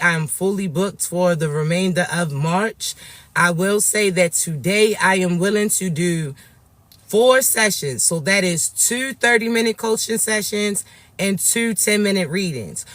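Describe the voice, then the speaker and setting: Raspy high-voiced man giving a reading. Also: dry recording. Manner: soft, drawn-out, affectionate address to viewers. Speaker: spiritual reader and coach. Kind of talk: livestream reading for viewers.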